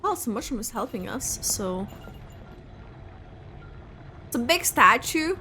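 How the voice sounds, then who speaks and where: A woman giving casual reaction commentary as she plays a game, musing haltingly. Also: a little high, very animated, pushed and bright, slight background noise. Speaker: gaming streamer reacting to a game. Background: game music.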